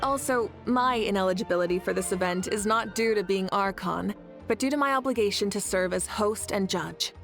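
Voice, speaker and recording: A woman's voice: medium pitch, fairly quick, lightly acted. A woman voicing a confident, passionate adult ruler in a game, teasing and laughing easily. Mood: assured. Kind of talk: game voice acting. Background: faint game music.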